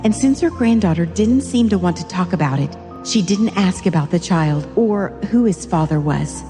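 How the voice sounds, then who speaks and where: A woman's voice: on the low side, expressive, normal speed, warm tone, in a large hall. A woman narrating a scripted drama in a storytelling style. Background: music.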